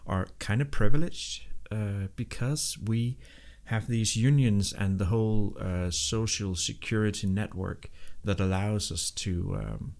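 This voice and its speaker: A man's voice: on the low side, measured pace, vocal fry, few pauses, darkish tone. A man hosting a live programming stream, chatting casually and reading viewers' messages, with many fillers and restarts. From Denmark.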